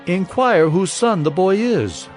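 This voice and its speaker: A man playing a commanding ancient king in a dramatized Bible story, imperious and drawn-out in his questioning. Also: sits high, animated, soft background music. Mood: curious, commanding. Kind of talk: dramatized scripture acting.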